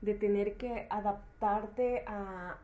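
Medium-pitched Latin American woman hosting. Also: fairly even intonation, slow, mellow, highs cut off. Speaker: podcast host. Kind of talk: casual chat, interviewing.

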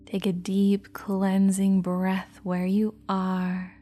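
Female meditation guide, slow, mellow, half-whispered, even delivery over faint music. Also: mid-range voice.

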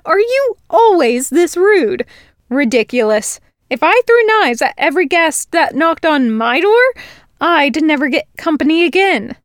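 Woman reader doing a bold young village girl, high and bright, smug and teasing; storybook read. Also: sing-song, a little slow, dry recording, clean studio sound. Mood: indignant.

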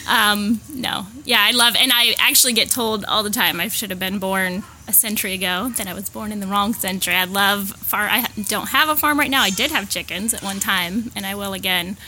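Woman interviewee from Pennsylvania, bright and plainspoken, casual chat delivery, slight background noise. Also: medium pitch, brisk, few pauses. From Pennsylvania, United States.

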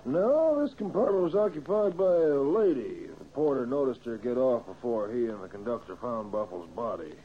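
A man's voice: mid-range voice, lively intonation, moderate pace, hardly any pauses, close to an everyday voice. An American man playing a wry, folksy older police inspector and father in an old-time radio drama. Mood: businesslike. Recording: muffled, hissy old radio recording.

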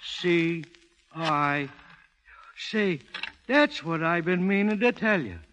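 A man playing a slow-witted, earnest, fumbling errand helper in a 1930s radio drama, on a vintage recording. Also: a little high, animated, fairly bright tone, a little hiss or hum. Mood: earnest, muddled. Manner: dim, plodding, easily sidetracked. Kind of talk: 1930s radio comic-relief acting.

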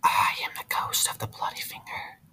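A man voicing a ghost of a bloody finger in a breathy, unvoiced whisper from a distance. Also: moderate pace, bright, forceful tone. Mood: eerie whisper.

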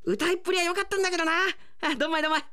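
A man acting in a radio drama as a gruff, friendly izakaya owner with rough, colloquial speech and an encouraging, easygoing manner. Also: extremely high pitch, very fast, bright, forceful tone, voice pushed up. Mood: cheerful, consoling.

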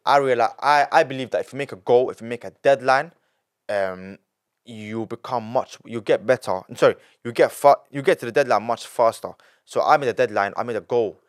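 A nineteen-year-old man, a podcast guest telling his story in an animated way, full of repetition and street slang. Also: medium pitch, fairly quick, clean tone. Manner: animated, repeats himself, heavy street slang. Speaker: young entrepreneur guest.

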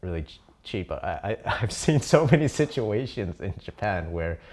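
Deep-voiced, lively-pitched male interviewer. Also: moderate pace. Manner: relaxed, hesitant questions with ums and laughs. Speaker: interviewer host. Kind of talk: casual interview.

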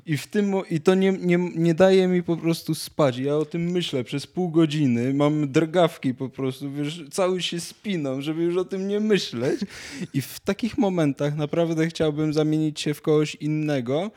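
A man's voice: a little high, fairly even intonation, moderate pace, mellow. A young man in casual podcast conversation, speaking in a hesitant, self-reflective way. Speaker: podcast co-host.

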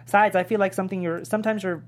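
A woman's voice: medium pitch, steady tone, rapid-fire, lightly acted. A woman reading a blunt, clueless young male gamer in a comedy script table read. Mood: defensive.

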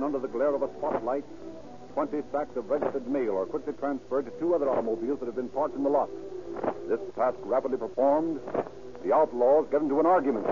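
A man with an American accent narrating an old-time radio crime drama in a grave, declamatory manner. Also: mid-range voice. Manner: grave, declamatory, deliberate emphasis. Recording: muffled old radio recording, music behind.

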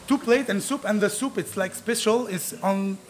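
A man in his twenties, born in Tunisia and raised in Montreal, talking casually as a chef guest, halting and searching for words, swearing now and then. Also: sits high, a little hiss or hum. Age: 20s. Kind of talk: casual chat.

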